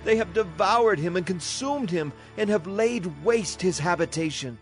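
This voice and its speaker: Solemn male Bible narrator, measured delivery, light music underneath. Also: high voice, animated. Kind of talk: dramatized scripture reading.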